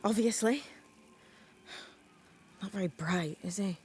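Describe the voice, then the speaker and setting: A woman playing a blunt, sly young witch's novice in a video game, wry and teasing in a deadpan way. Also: mid-range voice, takes it slowly, a little hiss or hum, natural voice. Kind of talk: video game cutscene acting.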